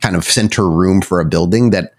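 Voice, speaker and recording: A man's voice: sits low, fairly quick. A man running a vampire tabletop game as storyteller, improvising wordy, descriptive narration and correcting himself as he goes.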